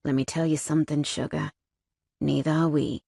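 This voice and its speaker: Gruff biker guy, menacing but protective, low and growling, voiced by a woman reading the story. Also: little pitch movement, moderate pace, warm tone, no room sound, studio quality.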